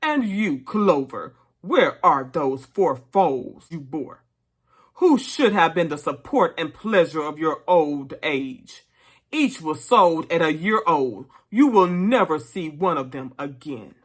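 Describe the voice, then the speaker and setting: Someone reading as an old boar rebel leader, storybook speech, really slow n solemn, big rousing pauses. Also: mid-range voice, expressive. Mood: grave, mournful. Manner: deliberate, oratorical, emphatic pauses. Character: elderly boar orator, solemn, rousing, revolutionary.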